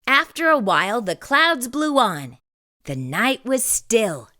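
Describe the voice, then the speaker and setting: A woman reads a bedtime storybook aloud for young children. Her voice is bright and swoops widely, and she takes her time with each phrase in a deliberate, animated way. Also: a little high, no echo, studio quality. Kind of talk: scripted storybook narration, read aloud.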